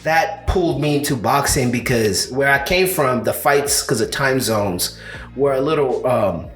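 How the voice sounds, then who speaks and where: A man's voice: mid-range voice, a bit bright. A man, co-hosting a casual combat sports chat and rambling with many "you know"s and restarts. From Uganda. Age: 30s. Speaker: co-host of a combat sports show. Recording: echoey room.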